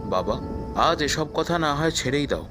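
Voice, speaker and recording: A man's voice: on the high side, expressive, normal speed, over loud music, natural voice. A man voicing a caring, earnest grown son in a cartoon. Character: caring grown younger son in village household. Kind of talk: cartoon voice acting.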